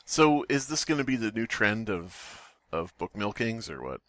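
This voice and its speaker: A man's voice: on the low side, big pitch swings, moderate pace, a bit bright, no echo. A man co-hosting a podcast, joking around and reading stories aloud in a wry, mock-outraged manner. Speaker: podcast co-host.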